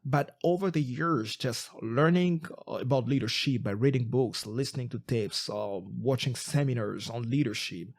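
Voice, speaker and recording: A man's voice: medium pitch, moderate pace, hardly any pauses. A podcast co-host from Congo, a man whose first language is not English, telling his story in an earnest, reflective way with frequent restarts. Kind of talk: casual podcast chat.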